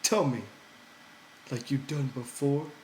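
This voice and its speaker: A man's voice: medium pitch, takes it slowly, reverberant, slight background noise, pitched above the performer's own voice, lightly acted, rough recording. A man voicing a big, childlike, simple-minded ranch hand who pleads and whines in an audiobook. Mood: begging. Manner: whiny, pleading, childlike, ungrammatical.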